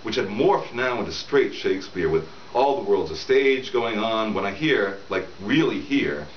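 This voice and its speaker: A man's voice: fairly low pitch, lively intonation, normal speed. A middle-aged man reading his novel aloud in a conversational storytelling way, as the author, in a room at home. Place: small room at home, indoors. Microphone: camera several feet away. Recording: roomy, distant camera mic, dull with hiss.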